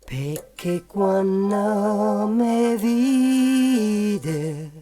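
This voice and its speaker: A woman's voice: mid-range voice, expressive, drawn-out words, dark tone, faint music behind. A woman singing in a recorded demo vocal sample.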